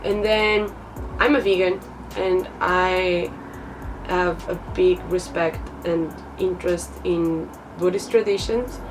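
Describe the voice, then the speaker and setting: A woman's voice: lowish voice, steady tone, takes it slowly, recorded from a distance, over music, poor audio. A woman in her twenties from Portugal with a Portuguese accent, introducing herself in a chatty vlog, laughing often between thoughts. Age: 20s. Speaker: vlogger.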